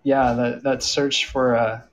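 An American man hosts a panel discussion in casual, conversational speech. His voice sits at a middling pitch and has plenty of fry in it. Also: level tone, slow pace. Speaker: panel host.

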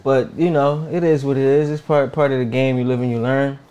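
A man's voice: mid-range voice, little pitch movement, fairly quick, dark tone. A man in his thirties hosting a casual podcast, talking in a laid-back, slangy way and firing off this-or-that questions. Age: 30s. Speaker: podcast host. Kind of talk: casual hip-hop podcast chat.

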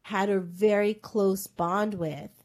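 A woman in relaxed podcast conversation, mid-pitched. She rambles through a story with constant fillers and restarts. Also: slow pace. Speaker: podcast co-host. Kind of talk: casual pop-culture chat.

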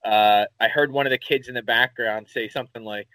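A man with a Philadelphia accent, co-hosting a podcast in casual chat, telling rambling, animated stories and swearing casually. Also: medium pitch, fairly quick, no echo, rough recording. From Philadelphia area, USA. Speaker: podcast co-host.

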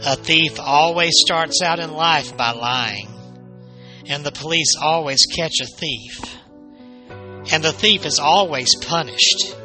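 A stern mother played by a man lectures her young daughter in a horror tale. The delivery is slow and firm, with a very bright, forceful edge over soft music. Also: on the high side, pitched above the performer's own voice, heavily acted. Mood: stern, accusing. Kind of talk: horror storytelling character voice.

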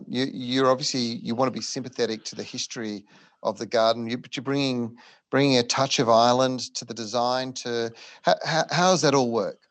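A man hosting a podcast, asking questions in a halting way with repeated words. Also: medium pitch. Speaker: podcast host. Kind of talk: podcast interview.

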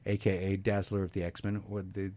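A man's voice: low voice, little pitch movement, moderate pace, dark tone, no room sound. A man hosting a live broadcast in a loose, chatty way, laughing often and reading out news with plenty of fillers. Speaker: host. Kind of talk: casual live broadcast chat.

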